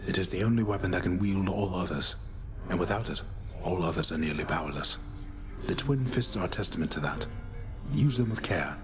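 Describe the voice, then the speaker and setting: Seasoned hero turned mentor, fantasy game, male actor: deep, measured, fatherly gravity over prominent music. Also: natural voice. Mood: grave counsel. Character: calm, seasoned warrior mentor, fatherly and grave. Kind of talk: scripted video game dialogue.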